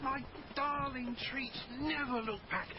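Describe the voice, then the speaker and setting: A man's voice: extremely high pitch, fairly even intonation, unhurried, lo-fi sound, background noise. An actor playing a grandiose, flamboyant father of a troupe of poor players, declaiming floridly in a period radio drama. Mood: grand, doting.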